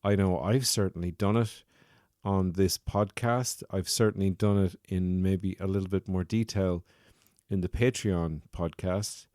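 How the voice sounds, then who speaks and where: A man's voice: sits low, fairly even intonation, measured pace, warm tone, dry recording, studio quality. A man hosting a solo comedy podcast, talking in a wry, rambling, self-mocking way. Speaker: podcast host.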